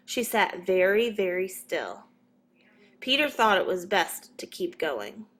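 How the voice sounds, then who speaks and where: Woman, children's storybook narrator, slow pace, medium pitch, expressive, measured scripted reading. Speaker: storybook reader.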